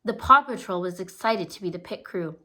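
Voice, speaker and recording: A woman reading a children's storybook aloud in a gentle, steady storytelling manner, with small slips now and then. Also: fairly low pitch, brisk. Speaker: storybook reader. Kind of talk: children's read-aloud narration.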